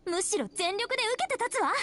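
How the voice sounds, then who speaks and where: An actress voicing a carefree, high-spirited female vampire princess in a visual novel, with a bright, playful manner. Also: animated, rapid-fire, noisy background. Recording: game audio with background music.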